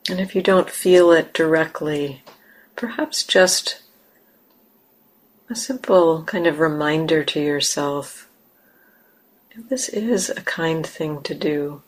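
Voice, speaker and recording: A woman meditation teacher gently guiding a meditation, unhurried, with long pauses between short phrases. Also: sits low. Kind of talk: guided meditation. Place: meditation center.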